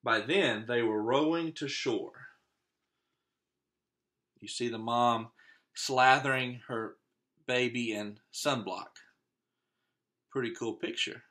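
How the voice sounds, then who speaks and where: A man's voice: medium pitch, bright, forceful tone. A man, an elementary teacher, reads a children's picture book aloud and breaks off for casual asides about the pictures. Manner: pauses to comment on the pictures.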